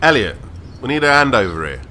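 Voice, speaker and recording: A man's voice: medium pitch, big pitch swings, brisk, pushed and bright, slight background noise. An actor, a man, playing a determined workman taking charge in an emergency, urgent and insistent, in an audio drama. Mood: alarmed.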